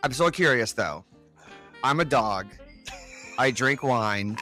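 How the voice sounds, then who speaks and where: A man's voice: medium pitch, lively intonation, slow pace, bright, forceful tone, soft background music. A man hosting a casual, playful interview, joking around and piling encouragement on his guests in an effusive way. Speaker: podcast host.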